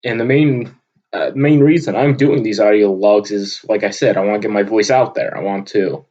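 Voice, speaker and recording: A young man from Vermont with a loud, booming voice, talking off the cuff in an unscripted audio log, rambling with lots of filler words. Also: steady tone, moderate pace, dull audio. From Vermont, USA. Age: young adult. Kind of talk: unscripted casual monologue. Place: at his desk, indoors. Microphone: computer microphone on a desk.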